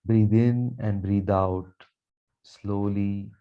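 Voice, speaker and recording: A man guiding a meditation with affirmations in a slow, calm, soothing delivery with long pauses. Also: fairly low pitch. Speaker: meditation guide, Reiki teacher. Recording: dull, muffled lo-fi sound.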